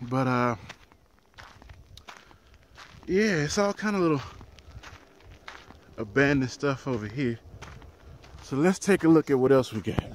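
A man in his thirties, a truck driver, chatting casually to his viewers in a handheld vlog, outdoors by a highway with the phone at arm's length. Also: animated, moderate pace, long pauses, a little hiss or hum. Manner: chatty, talks to viewers as "y'all", laughs easily. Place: outdoors in an empty desert town by a highway. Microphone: phone held at arm's length.

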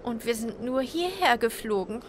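A woman's voice: a little high, expressive, normal speed, slight background noise, lightly acted. A woman voicing a cheerful, curious little cloud in a children's audio play, childlike and eager. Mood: exhausted.

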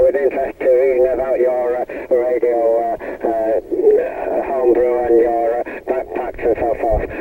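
Gravelly, hesitant man over shortwave radio. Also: medium pitch. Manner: hesitant, halting, rambling. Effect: heard over shortwave radio. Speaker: amateur radio operator. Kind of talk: ham radio contact. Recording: phone-like radio speaker, distant, clear static noise.

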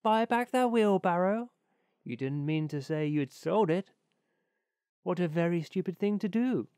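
A selfish storybook miller, as a man reader puts him on, speaking in a fairly high voice. He is pompous and condescending, forever parading his own generosity. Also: normal speed, warm tone, no echo, clean studio sound, close to an everyday voice. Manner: pompous, patronizing. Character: self-important, selfish miller, falsely generous friend.

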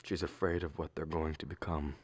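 A man playing an exasperated, blustering middle-aged father and husband in a radio comedy play, halting and strained. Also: a bass voice, little pitch movement, no room sound. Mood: subdued. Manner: halting, throat-clearing, erupting when frustrated. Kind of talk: stage comedy acting for radio.

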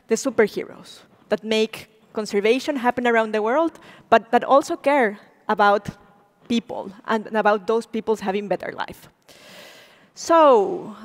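High-voiced woman with a Spanish accent. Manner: animated, gesturing, asks the audience questions. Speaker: conservation program director. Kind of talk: public lecture. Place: large auditorium stage. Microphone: stage mic, speaker walking. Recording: long reverb of a hall.

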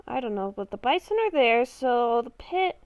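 A woman's voice: a little high, expressive, normal speed, no echo. A woman improvising in-character game roleplay, talking to herself in murmurs and exclamations. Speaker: gaming video creator playing a roleplay character.

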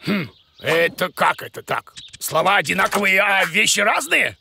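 Cartoon creature: pompous, clueless teacher, high and creaky, theatrical exclamations. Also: male voice, very animated, moderate pace, pushed and bright, a little hiss or hum, full character voice. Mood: huffy, stubborn. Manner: self-important, exclamatory, theatrical. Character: blustering, boastful, clueless bearded creature teacher. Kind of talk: animated children's cartoon voice acting.

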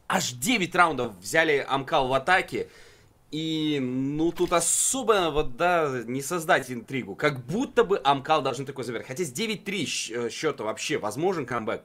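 A man's voice: mid-range voice, expressive, moderate pace, bright, forceful tone. A man giving unscripted live commentary on an esports match in a colloquial, musing way.

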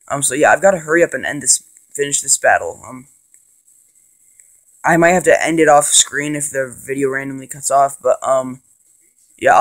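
A man with a cold and a congested voice, rambling casually with lots of ums and excited bursts as he plays and narrates a handheld game. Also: a little high, low-quality recording. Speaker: gaming channel host. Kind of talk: casual let's play commentary.

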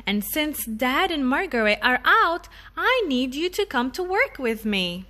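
A woman voicing a gentle, patient mother tiger in a children's storybook, explaining things reassuringly to a child. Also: high-pitched, sing-song, measured pace, full character voice.

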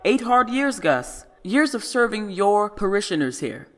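A woman's voice: mid-range voice, sing-song, measured pace. An actress playing an elderly, devout mother, tender and worried, speaking solemnly and coaxingly in an audio drama. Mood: admiring, tender.

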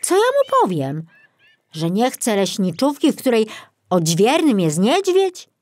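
Woman as a high, flustered young forester. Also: huge pitch swings, a little slow, darkish tone, no echo, heavily acted. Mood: fretful. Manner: plaintive, exclamatory. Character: anxious, flustered young male forester, newly arrived.

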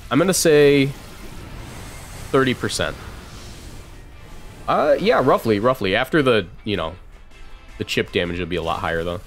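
A man in his twenties giving casual live game commentary, chatting and thinking out loud as he plays. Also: lowish voice, lively intonation, moderate pace, pauses often, soft background music. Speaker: streamer.

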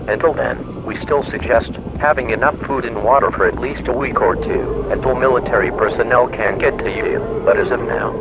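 A man's voice: mid-range voice, close to an everyday voice. A man playing an impersonal government emergency alert announcer, reading a scripted broadcast in a formal way. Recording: muffled lo-fi, loud background noise.

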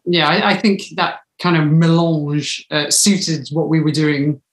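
A woman's voice: sits low, fairly even intonation, measured pace. A woman, chatting as an interview guest on a video call. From United Kingdom. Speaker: interview guest, audio drama writer. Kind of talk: casual interview chat. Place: living room, indoors. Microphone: video call, computer mic at arm's length. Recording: echoey room.

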